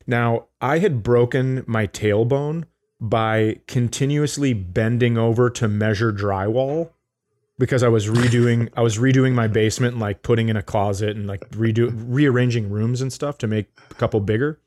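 A man's voice: mid-range voice, normal speed, a little mellow. A man co-hosting a comedy podcast, chatting casually in a dry, hesitant way.

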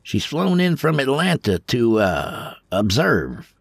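Male narrator as a nervous bald doctor, low, quiet, halting, dry read. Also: very animated, no echo, heavily acted. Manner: hesitant, throat-clearing.

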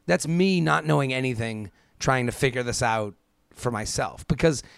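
A man's voice: mid-range voice, moderate pace, creaky, smooth, dry recording, studio quality. A man in his thirties co-hosting a casual advice podcast, asking rhetorical questions and acting out quotes from imagined people. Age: 30s. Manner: animated quoting of imagined speakers, rhetorical questions. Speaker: podcast co-host.

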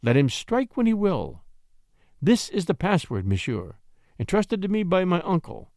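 A sly countess of a period storybook, acted by a male narrator, lifted in pitch and swooping widely. She coaxes and pleads with a breathy, cajoling manner, in a dry, close sound. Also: sing-song, a little slow, a little mellow, no room sound, exaggerated voice. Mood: pleading, urgent. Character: scheming countess, sly, coaxing, feigning innocent distress.

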